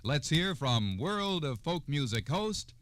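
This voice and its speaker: Animated male vintage radio announcer. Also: fairly high pitch, slow. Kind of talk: scripted public service radio announcement. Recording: old radio broadcast, faint music.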